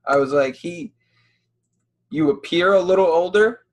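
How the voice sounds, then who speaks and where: A man's voice: high-pitched, animated, measured pace. A man in his early twenties with an American accent, hosting a casual interview in slangy, informal phrasing. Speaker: podcast host.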